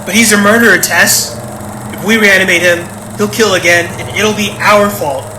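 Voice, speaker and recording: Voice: mid-range voice, steady tone, moderate pace, natural voice. An actor playing an uneasy, doubtful grown child in a naturalistic audio drama, talking in a hesitant, conversational way. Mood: grave, resolute. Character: uneasy, doubtful grown child who suspects dad of murder. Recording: roomy, echoey with clear background noise.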